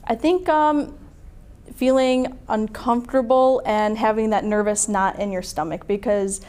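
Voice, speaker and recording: A woman's voice: fairly high pitch, fairly even intonation, measured pace, darkish tone, clean tone. A young woman, a recent graduate, giving unscripted answers in an interview. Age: young adult.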